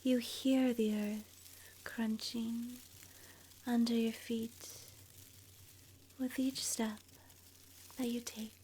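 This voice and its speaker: A woman softly narrating an ASMR guided meditation in a breathy, whispery voice, gentle and soothing, leaving long pauses. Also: on the high side, level tone, a little hiss or hum, poor audio.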